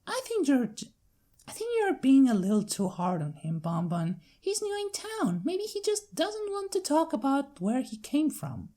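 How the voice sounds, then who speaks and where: Lady doing a high chatty young pony gal, nosy and bubbly, real animated, cartoon fanfic. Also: normal speed. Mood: curious. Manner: bubbly, inquisitive. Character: chatty young female cartoon ponies, a curious couple.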